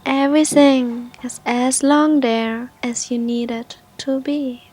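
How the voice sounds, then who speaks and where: A woman leads a guided meditation in a high, soft voice. Her delivery is slow and unhurried, leaving long pauses between gentle instructions. The tone is airy and husky, often close to a whisper. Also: steady tone.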